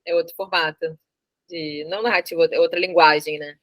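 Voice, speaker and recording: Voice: medium pitch, fairly even intonation, a little fast, a bit bright, no echo. An interviewer with a Brazilian Portuguese accent, chatting casually. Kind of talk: casual chat.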